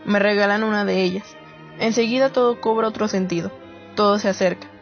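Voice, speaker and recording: A woman's voice: medium pitch, fairly even intonation, brisk, lightly acted. An actress playing a reflective woman writer, narrating a radio drama from a script. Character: reflective woman writer gathering war memories. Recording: muffled lo-fi audio under music.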